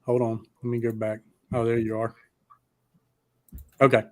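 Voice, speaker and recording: A man's voice: mid-range voice, measured pace. A man hosting a live streamed talk show, chatting casually and swearing freely as he fumbles with the streaming setup. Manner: profane, rambling and excitable. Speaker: podcast host.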